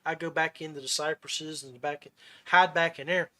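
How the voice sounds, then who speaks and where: A man with a notably high, bright voice hosts a casual fishing podcast. He talks in a folksy way and rambles through his stories like someone chatting with buddies. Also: steady tone, normal speed. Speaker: podcast host.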